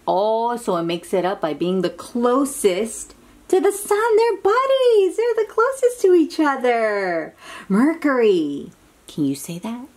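Very animated woman reading to young children. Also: a little high. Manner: expressive, exclaiming, with drawn-out words and hushed asides; talks directly to young listeners with simple questions. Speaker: children's read-aloud host.

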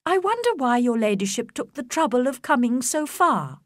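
A woman reading aloud voices a composed young woman who answers in a cool, measured way. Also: sits high, big pitch swings, no room sound, studio quality, pitched above the performer's own voice.

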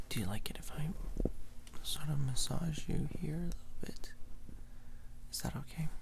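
A young man playing a tender, playful boyfriend caring for his sick partner in an intimate ASMR roleplay, speaking softly and soothingly. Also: mid-range voice, vocal fry, close to an everyday voice. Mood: hushed, soothing. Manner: soft, hushed, soothing, often whispering.

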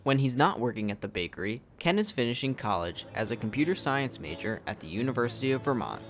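A man reading scripted documentary narration in a measured storytelling way. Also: mid-range voice, big pitch swings, music in the background.

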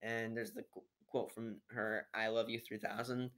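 A man's voice: lowish voice, flat delivery, moderate pace, fairly bright tone. A teenage boy giving a casual, unscripted movie review in a rambling, hesitant way, recorded at home on a built-in computer microphone. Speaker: amateur video reviewer. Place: living room at home, indoors.

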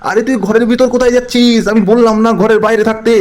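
A man's voice: very high voice, level tone, very fast, darkish tone. A man voicing a bossy, alarmed village father in a melodramatic doll-puppet cartoon, urgently repeating his commands. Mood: urgent, scolding. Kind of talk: melodramatic puppet-story voice acting.

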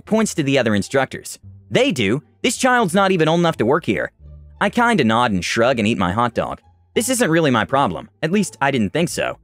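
A man's voice: mid-range voice, fairly bright tone, no echo. A man narrating online stories as scripted narration, shifting his voice for quoted people. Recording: dry close voice-over with faint music.

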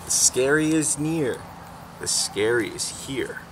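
A young man reading a children's picture book aloud as a teacher, expressively and deliberately, pausing between phrases, recorded outdoors a little way from the camera. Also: medium pitch, poor audio. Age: young adult. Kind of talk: children's story read-aloud. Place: outdoors in a fenced backyard garden. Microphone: camera a few feet away. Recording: faint steady outdoor background noise.

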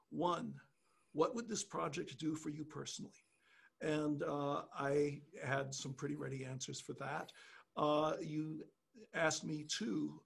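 Older male pianist, gravelly and husky, measured storyteller, headset audio. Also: fairly high pitch. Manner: measured, many fillers. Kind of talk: storytelling in a video call. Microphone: headset on a video call.

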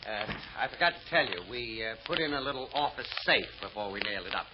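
A man playing a hesitant bluffer who gives orders on the sly, halting and improvising, in an old radio detective drama. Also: mid-range voice, animated, close to an everyday voice. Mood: bluffing, brisk. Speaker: actor. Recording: dull, noisy old radio recording with clicks.

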